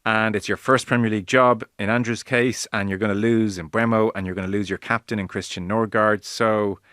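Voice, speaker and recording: A man's voice: lowish voice, fairly even intonation, brisk, a bit bright, dry recording, studio quality. A man hosting a football show in a conversational presenting style.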